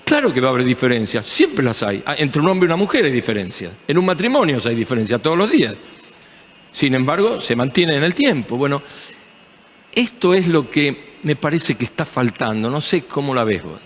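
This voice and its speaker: A man's voice: mid-range voice, sing-song, fairly quick, in a large hall. A man with an Argentine accent hosting a TV panel discussion, talking in an emphatic, agreeable way. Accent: Argentine Spanish. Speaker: TV host.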